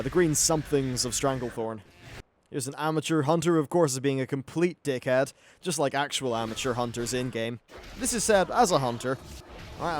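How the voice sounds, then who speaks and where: A man giving casual live-play game commentary in a chatty, wry, casually sweary way. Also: a little high. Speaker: gaming video host. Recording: clear background noise and game ambience.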